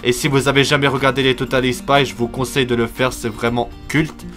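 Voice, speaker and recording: A man's voice: mid-range voice, level tone, a little fast, fairly bright tone. Young man vlogging over music. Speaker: YouTube host. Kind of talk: casual TV news commentary for a video channel.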